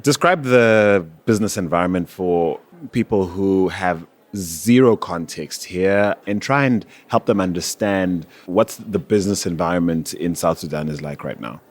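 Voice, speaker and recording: A man's voice: low voice, normal speed, few pauses. A man hosting a podcast, moving between scripted narration and conversational interviewing. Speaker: podcast host.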